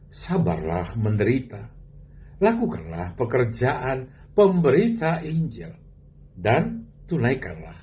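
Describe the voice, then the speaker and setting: Man preaching with animated, swooping pitch. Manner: rhetorical questions and emphatic, drawn-out phrasing. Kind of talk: sermon.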